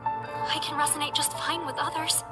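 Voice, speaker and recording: An actress playing a defiant, anxious young heroine in a video game, earnest and challenging. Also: female voice, high voice, flat delivery, normal speed, lightly acted. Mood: questioning, indignant. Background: loud game music.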